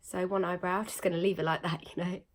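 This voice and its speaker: A young woman with a croaky, slightly nasal voice after being ill, chatting casually through a makeup tutorial with lots of fillers. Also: lowish voice, level tone, fast. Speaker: beauty vlogger.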